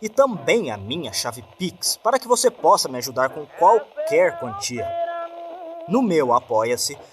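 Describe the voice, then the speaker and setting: A man with a Brazilian accent giving a scripted appeal to listeners as a podcast narrator and host. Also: a little high. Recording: clear music bed behind voice.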